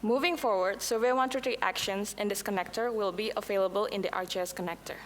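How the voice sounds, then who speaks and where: A woman whose first language is Indonesian, presenting a scripted software demo at a conference, with a few slips and restarts. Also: medium pitch, fairly even intonation, fast talker, slight background noise. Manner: reads prepared lines, occasional slips and restarts. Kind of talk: scripted product demo talk. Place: conference hall. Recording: long hall reverb, faint ambience.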